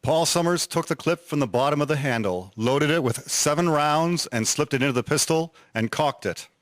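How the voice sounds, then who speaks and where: A man's voice: a little high, expressive, moderate pace, no echo, clean studio sound. A man reading scripted historical narration in a formal documentary style.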